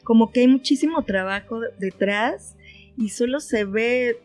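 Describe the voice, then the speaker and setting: A woman's voice: on the high side, measured pace, a little mellow, faint music behind. A woman with a Mexican accent hosting a casual interview in an attentive, informal manner. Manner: attentive, informal, frequent short acknowledgements. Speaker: podcast host, interviewer.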